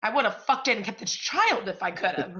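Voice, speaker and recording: A woman's voice: medium pitch, sing-song, brisk, pushed and bright. A woman acting a brash, foul-mouthed, gushing literary agent in a stage play, recorded with a dull, muffled sound. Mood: brash, effusive. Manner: brash, profane, effusive. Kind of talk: stage comedy-drama acting.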